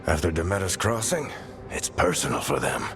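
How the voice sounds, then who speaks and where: A man's voice: sits low, big pitch swings, a little fast, creaky, a bit bright, soft background music, full character voice. An actor playing a wry, fatherly older mentor in a video game, speaking in a knowing, reassuring way. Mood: matter-of-fact. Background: game score.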